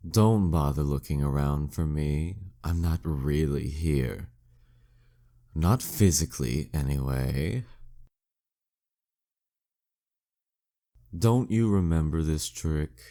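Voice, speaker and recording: A man's voice: rumbling low, warm tone, heavily acted. A man playing a flirty, dominant demon king villain in an intimate audio roleplay, teasing and smug in manner. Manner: teasing, smug, slow deliberate delivery.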